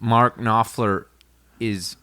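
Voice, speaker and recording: A man's voice: on the low side, steady tone, extremely slow, sharp tone, smooth, no room sound. A man with a nasal voice co-hosting a podcast, chatting casually in a joking, sweary manner. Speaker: podcast host. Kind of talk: casual banter.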